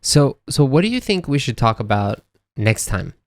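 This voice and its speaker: A man's voice: mid-range voice, big pitch swings, normal speed, no room sound. A man in his thirties with an American accent and a youthful-sounding voice, chatting casually as a podcast co-host. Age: 30s. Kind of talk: casual chat.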